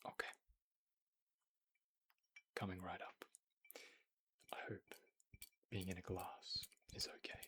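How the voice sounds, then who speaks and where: A man playing a courteous, attentive boutique tailor in an ASMR roleplay, murmuring and whispering gently, politely and without hurry. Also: sits low, level tone, takes it slowly, pauses often, exaggerated voice. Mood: gentle, attentive. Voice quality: breathy, whispery. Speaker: ASMR performer.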